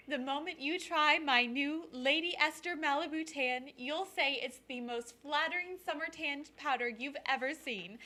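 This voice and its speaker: A woman's voice: high voice, bright, forceful tone. An actress playing a glamorous, gushing cosmetics saleswoman in a carefully enunciated 1940s radio advertisement.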